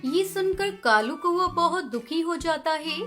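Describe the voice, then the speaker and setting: A woman with an extremely high-pitched voice narrates a children's cartoon tale. The delivery is scripted storytelling, with music clearly playing behind it. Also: animated, a little slow, fairly bright tone.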